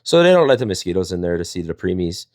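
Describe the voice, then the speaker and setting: A man's voice: sits low, sing-song, fast talker, darkish tone, no room sound, clean studio sound. A man, chatting and telling stories in a rambling, jokey way. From Wisconsin, United States. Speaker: storyteller and live panel host. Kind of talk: casual chat and storytelling.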